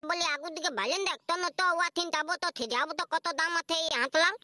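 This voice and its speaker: A woman plays an impatient, bossy child in a comedy cartoon, nagging with a Purulia Bengali accent. The voice is extremely high and bright, quick and dry, insisting on getting its way. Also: dry recording.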